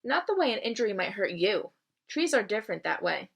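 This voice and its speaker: A wise old female tree in a children's storybook, voiced by a woman reading aloud. She is calm and measured, with a philosophical bent and dry humor. Her voice sits at an everyday middle pitch. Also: gravelly, breathy edge, heavily acted. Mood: calm reassuring.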